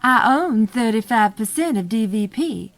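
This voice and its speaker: An actress playing a brash, defiant shareholder in an audio drama. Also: female voice, a little high, animated, a little slow, no echo.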